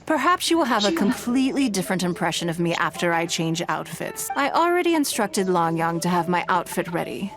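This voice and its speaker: A woman voicing a poised, elegant, wealthy businesswoman in a video game, with measured, refined speech and a gracious, teasing way. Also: lowish voice, very animated, over music. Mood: composed, assured. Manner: measured, refined, formal phrasing.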